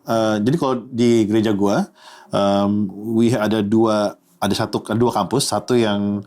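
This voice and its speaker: A man, co-hosting a casual chat, often pausing on fillers and mixing in English terms. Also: on the low side, little pitch movement, a little mellow. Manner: hesitant, many fillers, mixes English terms.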